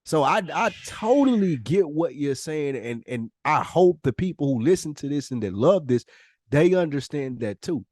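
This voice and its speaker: Male podcast co-host, slightly high voice, candid and earnest, freely profane, recorded close and dry. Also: big pitch swings, moderate pace, a little mellow, dry recording. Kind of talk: casual, candid podcast conversation.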